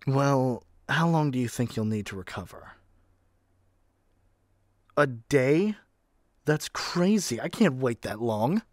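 A man's voice: medium pitch, no echo, clean studio sound, lightly acted. A man playing a haughty, impatient god in an audio roleplay, with an imperious, theatrical way of talking. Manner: imperious, theatrical, addresses listener as mortal. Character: haughty, impatient male god of magic rooming with a human.

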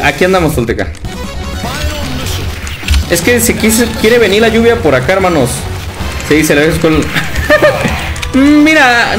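Young Mexican man, Mexican Spanish accent, friendly streamer banter, slightly high voice, game music loud underneath. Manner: chatty, laughs often, calls viewers brother. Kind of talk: casual livestream chat reading viewer comments. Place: indoor room at home.

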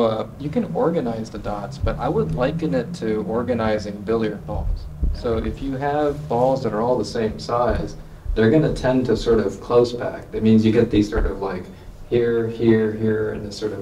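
A man's voice: on the low side, moderate pace, dark tone, slight background noise. A man on a science panel answering audience questions, explaining with everyday analogies in a lecture room. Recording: echoey room from far off.

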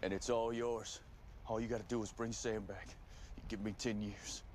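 Guy acting a grim young man in a tv drama, desperate and intense, lowish voice, noisy clip. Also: little pitch movement, moderate pace, darkish tone, natural voice. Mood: pleading, urgent. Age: young adult. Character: desperate, brooding young man bargaining with a demon.

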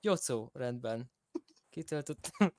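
A man's voice: mid-range voice, lively intonation, darkish tone, dry recording, natural voice. A man playing a polite, chatty police officer who fumbles through formalities in an online roleplay game. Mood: polite, amused.

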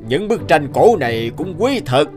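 A man's voice: fairly high pitch, very animated, moderate pace, over music. A man playing a generous, well-to-do merchant in a cartoon, businesslike and appreciative in his way of talking. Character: generous, well-to-do male art-buying merchant. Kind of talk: animated cartoon dialogue.

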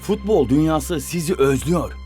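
A man plays an eager young footballer in a cartoon. His voice is a little high and very animated, earnest and expressive, with music underneath. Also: normal speed, lightly acted. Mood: earnest, pleading.

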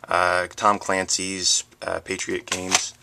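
Male vlogger, very low register with gravelly fry; unscripted show-and-tell over noticeable camera noise. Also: completely flat delivery, takes it slowly. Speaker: book vlogger. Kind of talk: casual chat showing a collection item by item. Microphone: handheld camera, close behind it. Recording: noisy home camera audio with handling clicks.

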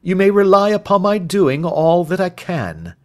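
A man voicing a sharp, confident Victorian detective in an audiobook, speaking in an assured, articulate and emphatic way. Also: high-pitched, big pitch swings, measured pace, pitched above the performer's own voice. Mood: reassuring.

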